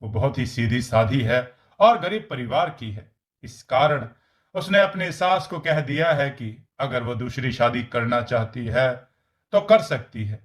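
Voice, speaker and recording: A man reading scripted story narration as the narrator. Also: medium pitch.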